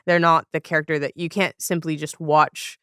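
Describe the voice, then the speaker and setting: A woman's voice: low-pitched, flat delivery, normal speed, fairly bright tone, clear, resonant tone, no echo, clean studio sound. A woman hosting a casual interview, phrasing things tentatively and rewording as she goes. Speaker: podcast host, interviewer.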